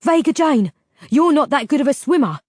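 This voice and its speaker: A woman voicing a loyal young man who mumbles gently and sounds shaken and weak. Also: high-pitched, lively intonation, normal speed, no room sound, studio quality, pitched above the performer's own voice. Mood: weak, worried. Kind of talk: audiobook character voice.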